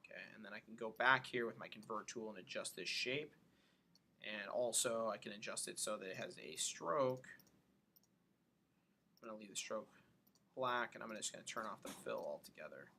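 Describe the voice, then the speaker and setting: A man giving a casual software tutorial, thinking aloud informally as he works through each step. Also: fairly low pitch, normal speed, gravelly.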